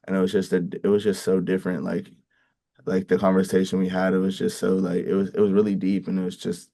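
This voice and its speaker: Deep, low-key young man, gravelly. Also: monotone, warm tone. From Bay Area, California. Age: young adult. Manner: halting, many ums and restarts. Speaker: college football player. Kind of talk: casual interview answers.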